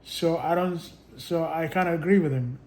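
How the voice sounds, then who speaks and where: Halting, rambling man vlogging. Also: fairly high pitch, a little mellow. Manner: halting, with many restarts and stray chuckles. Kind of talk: casual rambling monologue.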